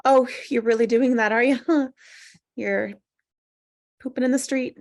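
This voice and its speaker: Woman playing a high-voiced, awkward single woman. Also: fairly even intonation, a little slow, darkish tone. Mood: incredulous. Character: awkward, defiant, hapless single woman in a comedy. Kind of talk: comedy screenplay table read.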